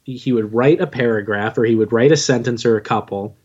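A man's voice: medium pitch, a little fast, darkish tone. Dull-sounding lo-fi recording of a joking man. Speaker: podcast guest, audio drama writer and narrator. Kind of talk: casual interview chat.

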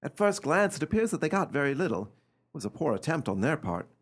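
Man playing a nervy, melodramatic gentleman, swooping pitch. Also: big pitch swings, a little fast, slightly rough. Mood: guarded. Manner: agitated, melodramatic, commanding. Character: nervy, high-handed gentleman haunted by a severed hand. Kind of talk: amateur radio play acting.

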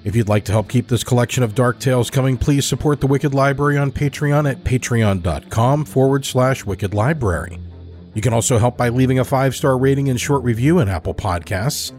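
A man hosting a podcast, reading the closing credits in an even, measured way. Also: mid-range voice, faint music behind. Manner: even, measured announcer delivery. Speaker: podcast host. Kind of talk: scripted outro credits.

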